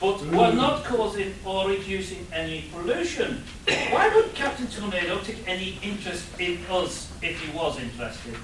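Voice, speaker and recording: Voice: medium pitch, big pitch swings, moderate pace, a little hiss or hum, close to an everyday voice. A performer playing an earnest, worried professor who questions the villain in a comic radio play. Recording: very echoey hall, distant mic.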